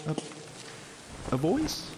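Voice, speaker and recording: A man's voice: extremely slow, noisy background. A man playing a jittery, stammering adventurer in a fantasy audio drama, flustered and evasive.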